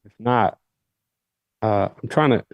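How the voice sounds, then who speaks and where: A man's voice: fairly low pitch, slow pace, dry recording, dull audio. A man whose first language is Arabic, coaching listeners on a call with emphatic, repetitive phrasing. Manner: emphatic, repeats phrases for stress. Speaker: coach and host.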